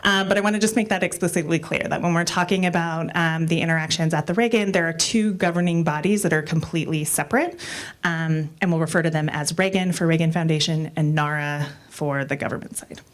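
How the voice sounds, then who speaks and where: A woman's voice: deep voice, fairly even intonation, a little fast. An American woman moderating a panel, with a strong teacher voice and a chatty manner full of fillers.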